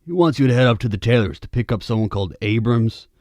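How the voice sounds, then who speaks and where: A man's voice: mid-range voice, animated, quick pace, darkish tone, no room sound, studio quality, natural voice. A man playing a casual Wild West lawman in a radio serial. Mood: matter-of-fact. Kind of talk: western radio serial acting.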